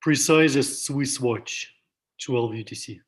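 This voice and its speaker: A man's voice: medium pitch, slow pace. A man on a video call chatting casually and joking, speaking in slightly broken English. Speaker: meetup participant.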